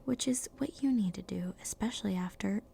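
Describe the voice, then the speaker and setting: An outspoken, curious young schoolgirl from a storybook, spoken in a hushed whisper as a woman reads her lines. The voice is mellow and slightly gravelly, and the manner stays low and intimate. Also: brisk, a little hiss or hum.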